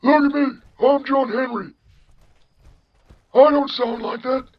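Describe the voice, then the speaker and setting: A man's voice: extremely high pitch, slow, dull audio, pitched above the performer's own voice, full character voice. A man voicing a cranky, indignant old synth with a blustering, exaggerated generic old man voice in a comedy skit. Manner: blustering, repetitive, scolding.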